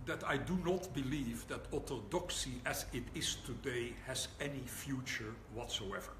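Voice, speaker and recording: An older man with a Dutch accent gives a public lecture as a rabbi, speaking in a wry, deliberate way and pausing for the audience's laughter. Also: mid-range voice. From Netherlands. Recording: echoey hall, audible room noise.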